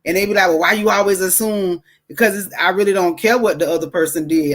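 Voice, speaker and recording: A woman, guesting on a podcast over a video call and telling her story bluntly and plainly. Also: on the low side. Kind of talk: casual storytelling.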